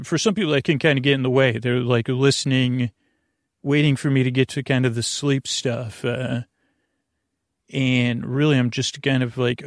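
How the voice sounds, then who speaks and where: A man's voice: medium pitch, creaky, dry recording, studio quality. A man hosting a bedtime podcast in a meandering, hesitant, slightly goofy monologue that keeps circling back on itself. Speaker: podcast host.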